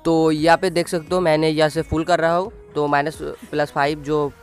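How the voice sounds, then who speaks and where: A young man narrating a casual tutorial, explaining in a halting, repetitive way with restarted words. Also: fairly high pitch, flat delivery, clear, resonant tone, faint music behind. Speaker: tutorial host. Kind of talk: casual screen-recorded how-to narration.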